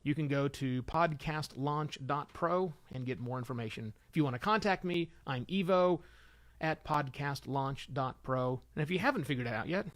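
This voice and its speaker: A middle-aged man hosting a solo show, giving informal advice in an animated, emphatic way with conversational asides. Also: fairly high pitch, moderate pace. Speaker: podcast host and consultant.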